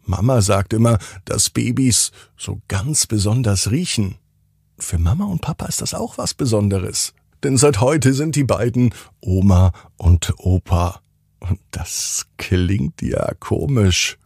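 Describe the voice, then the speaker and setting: A man's voice: on the low side, animated, slow pace, gravelly, a little mellow, slightly rough, dry recording, clean studio sound. A man narrating a bedtime story for children as the host and storyteller.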